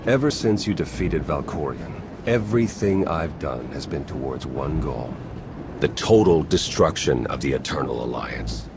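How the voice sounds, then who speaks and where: An actor playing a bitter, coldly determined traitor in a cinematic video game, grim and measured. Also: male voice, rumbling low, animated, vocal fry, noisy background, exaggerated voice. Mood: bitter conviction. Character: male traitor spy, bitter, coldly determined former ally.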